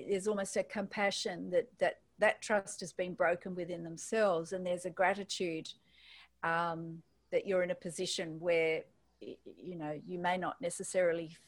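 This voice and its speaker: An Australian woman, a guest in a spiritual conversation, speaking thoughtfully and hesitantly, often restarting her phrases. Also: fairly low pitch.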